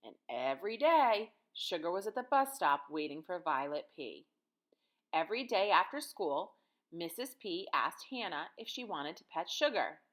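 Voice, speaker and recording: A woman's voice: fairly low pitch, very animated, moderate pace. An American woman in her thirties, a teacher reading and talking to young children in simple, guiding phrases. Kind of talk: picture-book read-aloud and lesson for children.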